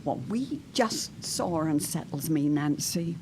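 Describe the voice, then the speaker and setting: Casting: woman acting as genteel older widow, anxious yet stubborn, lower-pitched, formal period-drama delivery, some background noise. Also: lively intonation, takes it slowly, a little mellow. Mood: melancholy. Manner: dramatic, old-fashioned formal phrasing.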